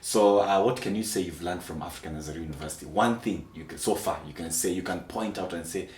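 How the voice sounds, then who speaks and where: A man hosting a casual interview show, soft-spoken and full of murmured acknowledgements. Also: sits low, fast. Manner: soft-spoken, frequent hums and "mm-hmm" backchannels. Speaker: talk show host. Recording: echoey room with faint background noise.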